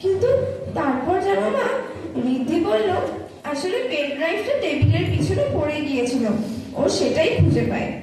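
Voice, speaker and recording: A woman's voice: extremely high pitch, lively intonation, moderate pace. A woman playing an earnest, sensitive schoolgirl confiding in her mother in an emotive stage audio drama, recorded in an echoey space. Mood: wondering, relieved. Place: echoey room at a recitation festival. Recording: echoey hall, far mic, faint noise.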